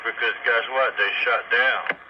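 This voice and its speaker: A man's voice: mid-range voice, fairly even intonation, measured pace. Folksy guy teasing on ham style radio, middle voice, muffled w static. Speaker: radio operator. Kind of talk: casual two-way radio chat. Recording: muffled noisy two-way radio.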